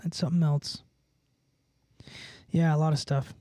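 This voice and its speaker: A man's voice: fairly high pitch, level tone, unhurried, warm tone, no echo. A man livestreaming, chatting casually with viewers in a rambling, profane, slangy way. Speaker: livestreamer and musician.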